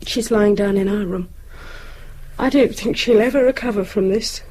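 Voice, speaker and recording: An actress with an English accent playing an anxious, caring grown-up daughter in a naturalistic radio drama, her voice soft and husky. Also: medium pitch, moderate pace, lightly acted, rough recording. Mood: miserable.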